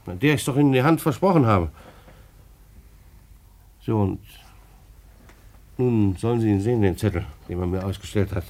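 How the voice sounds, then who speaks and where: A man's voice: lowish voice, moderate pace, pauses often, a little mellow, lightly acted. A man acting in a German radio drama as an agitated, self-justifying bailiff, stammering and repeating himself as he pleads. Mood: insistent, agitated.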